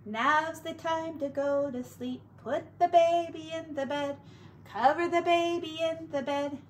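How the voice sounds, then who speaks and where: A woman teacher speaks to young children in a high voice at an unhurried, very slow pace. Her delivery is expressive and sing-song, with stretched words, and there is slight noise in the background. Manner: expressive, drawn-out words, hushed asides.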